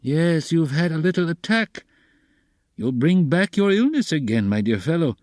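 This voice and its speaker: Man acting a wily, fussy investigator, pitch swooping. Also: big pitch swings, slow pace, a little mellow, no room sound, studio quality. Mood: alarmed, fussing. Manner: theatrical, coaxing, cackling, exclamatory. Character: wily middle-aged male investigator, fussily solicitous, slyly mocking.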